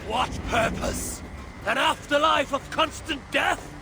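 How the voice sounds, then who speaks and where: An actor playing a proud, stubborn old Norse god-king in a video game, gravelly and theatrical in his grand declaiming. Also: very high voice, takes it slowly, music in the background, full character voice. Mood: challenging, resolute. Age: older. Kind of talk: dramatic game cutscene acting.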